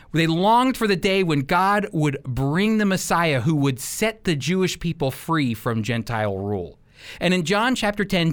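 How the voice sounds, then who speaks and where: Animated, emphatic male Bible teacher. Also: on the high side. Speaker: Bible teacher on a radio program. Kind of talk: sermon-like teaching talk.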